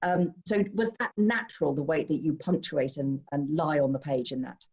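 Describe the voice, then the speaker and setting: A woman's voice: sits low, normal speed, darkish tone, dull audio. A British woman hosting a live online literary conversation, effusive and welcoming as she chairs it over a video call. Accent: British English. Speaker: event host chairing author discussion.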